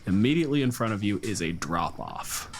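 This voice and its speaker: A man's voice: on the low side, very animated, fairly quick. A man improvising narration as game master of a tabletop game, casually explaining as he goes. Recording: clear background noise.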